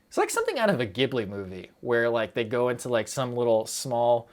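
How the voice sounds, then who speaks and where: A man's voice: medium pitch, very animated, a little mellow. Rambling young man on stream. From United States. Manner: rambling, quick, self-interrupting with fillers and laughs. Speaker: streamer answering chat. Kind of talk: casual live stream chat.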